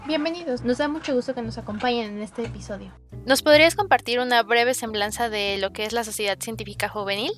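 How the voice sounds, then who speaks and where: A woman hosting a science podcast, speaking in a clear, measured presenter's way. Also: on the high side, fairly bright tone, faint music behind. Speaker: podcast host.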